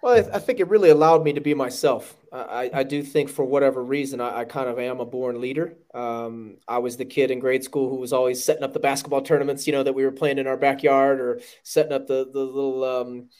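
A man with a loud voice, talking earnestly and emphatically as a guest in an interview, often restarting his sentences. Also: medium pitch, steady tone, fast talker, few pauses. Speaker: podcast guest, running team director. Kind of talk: conversational interview.

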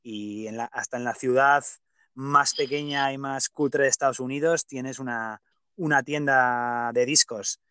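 A man's voice: medium pitch, steady tone, measured pace, sharp tone, clean tone, no echo. A man from Pamplona hosting a podcast, chatting casually with plenty of hesitant fillers. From Pamplona, Spain. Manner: hesitant, many fillers, opinionated. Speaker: podcast host. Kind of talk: casual interview chat.

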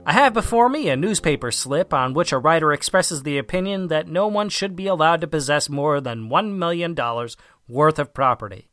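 A man reading a formal essay as an audiobook narrator, with a measured, emphatic delivery. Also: fairly high pitch, animated, fairly bright tone, no echo. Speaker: audiobook reader.

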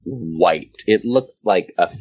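A man, a teacher, telling comic stories casually as a guest, with dramatic pauses and acted-out quotes. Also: low voice, animated, a little mellow, breathy edge, dry recording.

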